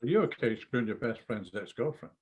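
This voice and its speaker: A man's voice: mid-range voice, lively intonation, brisk, darkish tone, lightly acted. A man playing a guarded, blunt young woman with terse, questioning lines in a screenplay read. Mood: skeptical.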